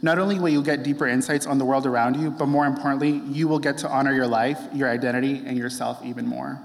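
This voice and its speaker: A young man giving an earnest, heartfelt prepared speech in a measured way. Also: mid-range voice, fairly even intonation, creaky. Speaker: speaker. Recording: long hall reverb.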